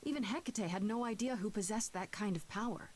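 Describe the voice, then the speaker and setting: A woman's voice: medium pitch, a little fast, slight background noise, close to an everyday voice. An actress playing a distraught, grieving young woman in a dramatic video game, with an emotional, pleading manner. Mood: subdued, questioning.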